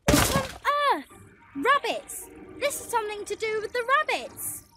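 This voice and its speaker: A woman's voice: very high voice, big pitch swings, measured pace, sharp tone, a little hiss or hum, pitched above the performer's own voice, heavily acted. A woman voicing a blustering, scheming male fox villain in a children's storybook, exclaiming and grumbling. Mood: outraged.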